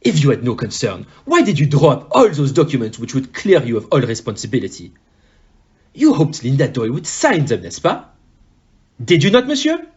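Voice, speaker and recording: A man's voice: medium pitch, wildly swooping pitch, darkish tone. Guy playing a fancy detective, super sing-song delivery, polite and self-assured, kinda dull recording. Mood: probing. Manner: courteous, deliberate, pompous. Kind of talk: amateur audio play acting.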